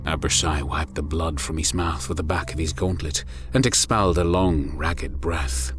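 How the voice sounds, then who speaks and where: A man with a very low voice delivers dramatic story narration, grave and deliberate. Prominent music plays behind him throughout.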